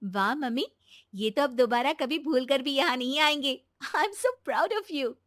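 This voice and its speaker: A woman voicing a gentle but determined young daughter-in-law in a moral story, earnest and coaxing. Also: high-pitched, expressive, unhurried, no echo, clean studio sound, voice pushed up. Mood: respectful. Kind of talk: animated Hindi moral-story voice acting.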